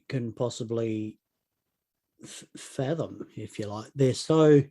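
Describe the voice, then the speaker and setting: Mellow-voiced Australian man hosting. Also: medium pitch. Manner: enthusiastic and encouraging, with filler sounds and throat clearing. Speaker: interview host. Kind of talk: casual interview chat.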